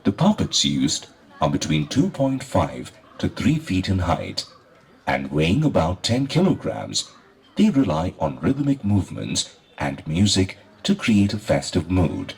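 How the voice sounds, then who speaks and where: A man's voice: sits low, sing-song, a little slow, gravelly, husky. A man delivering scripted documentary-style narration.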